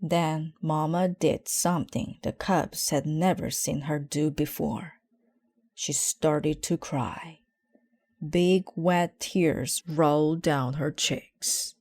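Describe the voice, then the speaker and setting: A woman reading a children's story aloud in a measured, expressive way. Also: sits low, dry recording. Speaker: storybook reader.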